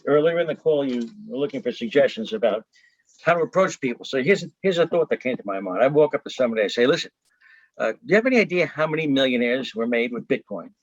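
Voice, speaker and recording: A middle-aged or older American man joining a call as a salesperson, delivering a pitch in an emphatic, deliberate way. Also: lively intonation, no room sound. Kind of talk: rehearsed sales pitch in conversation. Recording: dull, band-limited call audio.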